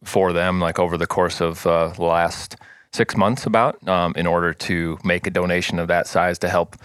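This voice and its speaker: A man from South Dakota, a podcast guest, talking casually with many pauses and restarts. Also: deep voice, flat delivery, a bit bright, no echo. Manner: rambling, many fillers and restarts. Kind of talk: casual interview chat.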